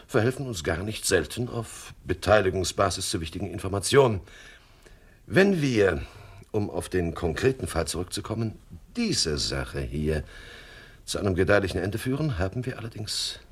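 A jovial, low-voiced, lilting crime boss. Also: male voice, slightly rough, heavily acted. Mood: persuasive, pleased. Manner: chuckling, genteel, wordy, mock-polite. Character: jovial, suave male crime boss running a front agency. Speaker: gang leader. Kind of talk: German radio crime comedy acting. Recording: faint noise on 1970s radio production.

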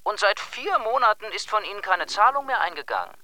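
A man's voice: high-pitched, animated, fairly quick, sharp tone, dry recording, full character voice. An actor playing a cold, insistent male debt collector calling about an overdue account in a radio drama.

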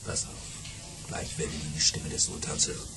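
An actor playing a boastful, self-important baron who tells tall tales theatrically in an audio play for families. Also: male voice, normal speed, music in the background, close to an everyday voice. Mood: sly storytelling. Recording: noisy old cassette rip.

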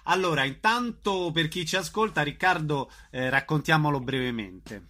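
Bright-voiced male radio interviewer. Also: fairly high pitch, expressive, moderate pace. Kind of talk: live radio phone interview.

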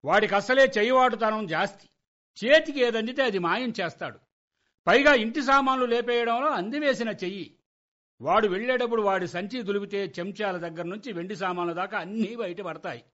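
Exasperated middle-aged husband, high voice, argumentative, nagging, radio comedy play, muffled lo-fi recording. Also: male voice, brisk, pushed and bright. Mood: sarcastic warning.

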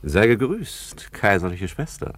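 Fugitive Eastern emperor, radio play: lowish voice, hugely varied melody, pompous, fretful, grandiose. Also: male voice, very animated, a little slow, fairly bright tone, no echo, exaggerated voice. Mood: grandly formal. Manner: declamatory, grandiose. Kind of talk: German radio play acting.

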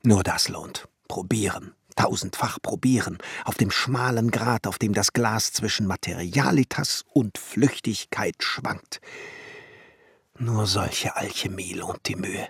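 An actor playing a solemn, visionary glassmaker and alchemist in a radio play, musing in literary German and often dropping into hushed tones. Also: male voice, lowish voice, takes it slowly, vocal fry, husky, dry recording, full character voice. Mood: rapt. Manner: musing, declamatory, drops into hushed whispers. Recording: studio radio play with music underneath.

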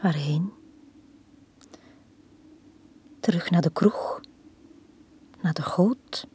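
An actress playing a forlorn, lovesick woman in a radio drama from the 1980s, speaking in a hushed, breathy, poetic manner. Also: on the low side, slight background noise. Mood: pleading, defiant. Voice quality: breathy, whispery. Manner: slow, hushed, poetic delivery. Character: Tanja, forlorn, lovesick woman left on the quay.

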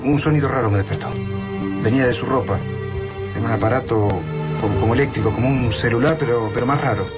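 Radio drama: male actor as bitter, shaken gunman, Argentine accent, medium pitch, heavy music bed. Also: fast talker, lightly acted. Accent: Argentine, Rioplatense.

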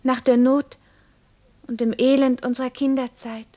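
An actress playing a grown woman wistfully recalling a hard childhood in a radio play, with reflective, deliberate phrasing. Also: sits high, level tone, warm tone, natural voice. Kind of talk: German radio play monologue.

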